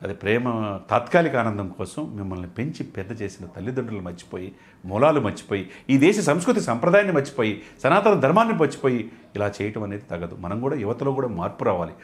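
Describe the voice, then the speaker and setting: An impassioned male commentator. His mid-pitched voice swings widely as he talks at a rapid clip. He is emphatic and rhetorical, piling up repeated phrases like a list. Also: very animated. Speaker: analyst and studio guest.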